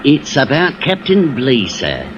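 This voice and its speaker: A man's voice: mid-range voice, wildly swooping pitch, unhurried. An actor playing a sly, servile blackmailing seaman with wheedling working-class speech in a 1950s radio drama. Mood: sly, deferential. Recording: muffled, noisy vintage radio recording.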